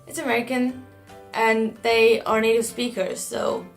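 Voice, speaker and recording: A woman's voice: a little high, fairly even intonation, a little slow, faint music behind. Earnest young German woman vlogging. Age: young adult. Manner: earnest, reflective, encouraging. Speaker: au pair vlogger. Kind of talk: casual talk to camera.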